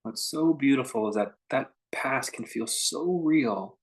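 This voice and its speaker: A man guiding a meditation in an informal, conversational way, speaking in short phrases with unhurried pauses between them. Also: medium pitch, animated. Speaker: meditation teacher leading a guided meditation. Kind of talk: unscripted guided meditation talk.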